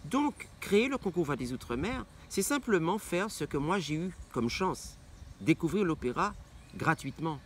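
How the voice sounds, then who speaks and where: A man's voice: a little high, big pitch swings, moderate pace. A middle-aged man from Martinique, an opera singer answering interview questions with passion, repeating phrases for emphasis. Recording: faint background noise.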